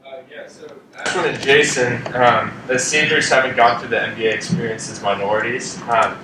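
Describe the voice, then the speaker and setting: A man's voice: mid-range voice, fairly even intonation, normal speed. A man moderating a panel, plainly putting a question to the speakers. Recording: echoey room, distant from mic, noisy.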